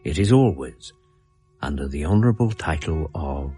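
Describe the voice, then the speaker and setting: A man with an English accent playing a reflective gentleman narrating his memoir in a radio drama. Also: extremely low pitch, expressive, normal speed, husky, exaggerated voice. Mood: wry, reflective. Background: violin music.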